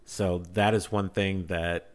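A man with a fairly low voice talks casually as a podcast panelist. He is emphatic and fervent, pressing his opinions on listeners like a devoted fan. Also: little pitch movement, slow pace.

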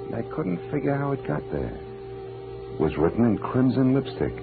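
An actor playing a tough, wry, world-weary American private detective in a sardonic, clipped, deadpan 1940s radio style. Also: male voice, medium pitch, moderate pace, vocal fry. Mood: weary, reflective. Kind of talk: 1940s radio acting, hard-boiled first-person narration. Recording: tinny vintage radio broadcast, music behind.

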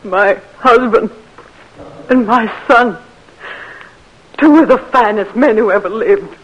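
A woman's voice: on the high side, takes it slowly, rough recording. An actress playing a grieving older Southern mother who is crying, tearful and pleading, in a 1940s radio drama.

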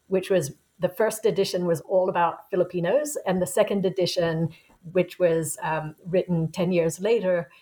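A woman in her sixties with a fairly low voice speaks as an interview guest. She recounts her path in a thoughtful, unhurried way, like a professor looking back on her work. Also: a little mellow. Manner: reflective, unhurried storytelling. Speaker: guest author, anthropology professor. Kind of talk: conversational interview answer.

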